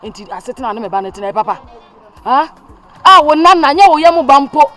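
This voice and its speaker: A woman's voice: medium pitch, very fast, fairly bright tone, no room sound, close to an everyday voice. An actress playing a mother who lectures her child at length, with emphatic repeats. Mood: stern lecturing. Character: stern older mother lecturing, then pleading with her child. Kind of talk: Twi-language family drama acting.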